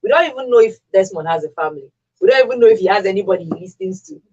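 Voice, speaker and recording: A woman's voice: medium pitch, lively intonation, very fast, a little mellow, husky, lo-fi sound. A woman with a Nigerian accent speaking as a radio panelist, emphatic and conversational, repeating herself and posing rhetorical questions. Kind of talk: opinionated conversational discussion.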